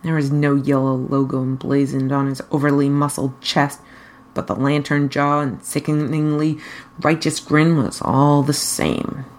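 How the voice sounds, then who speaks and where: A woman with a husky voice reading a story aloud as a narrator. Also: a bass voice, fairly even intonation, a little slow, darkish tone. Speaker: fan fiction reader.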